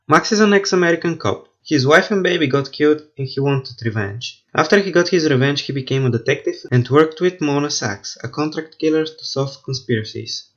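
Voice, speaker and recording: A man with a slightly high voice delivers a game review as a scripted voice-over. His wording is casual and opinionated, with the odd swear word. The recording sounds somewhat muffled and lo-fi.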